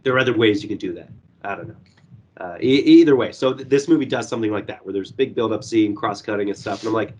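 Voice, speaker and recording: A young man reviewing a movie in casual podcast chat. His voice is hoarse and going, and he rambles with lots of fillers and swearing. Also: fairly low pitch, fast talker, a little mellow.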